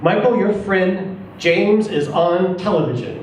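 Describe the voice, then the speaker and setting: A man reads the part of a stern, nagging mother of a grown son in a short story. The pitch is lifted, and the sound is very echoey and a bit distant. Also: lively intonation, measured pace. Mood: calling out. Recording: roomy, echoing, distant mic, faint noise.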